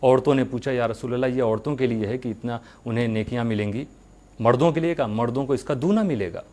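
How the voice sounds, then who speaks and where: A man giving a religious sermon, speaking earnestly to his followers with rhetorical questions and deliberate pauses. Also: mid-range voice, dark tone.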